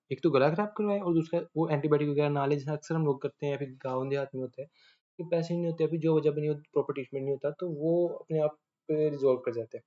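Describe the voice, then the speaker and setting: A man giving a casual medical lecture, often pausing to check that listeners follow. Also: a little high, darkish tone. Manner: chatty, checks understanding often. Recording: dull lo-fi audio.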